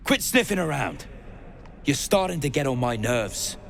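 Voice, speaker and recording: A man's voice: mid-range voice, very animated, moderate pace, vocal fry, fairly bright tone, a little hiss or hum. A man voicing an irritable dwarf overseer in a video game, curt, coarse and dismissive. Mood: surly, annoyed. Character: surly, irritable male duergar digging overseer. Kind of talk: video game character acting.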